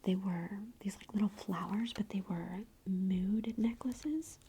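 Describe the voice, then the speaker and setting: A mother whispering a long, chatty, gossipy story in a soft unvoiced whisper, full of "like" and asides. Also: medium pitch, slow, a little hiss or hum. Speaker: parent telling a story.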